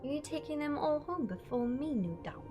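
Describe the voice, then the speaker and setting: A woman's voice: high voice, expressive, moderate pace, mellow, soft background music. A woman playing an outspoken, reproachful young companion in a sci-fi audio drama. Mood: impatient, sulky. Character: young female companion, outspoken, morally indignant.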